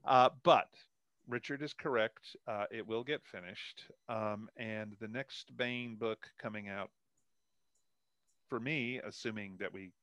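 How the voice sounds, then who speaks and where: A man's voice: lowish voice, a little slow, fairly bright tone, dry recording. An older man, a guest author chatting casually about writing in a rambling way, with self-deprecating humour.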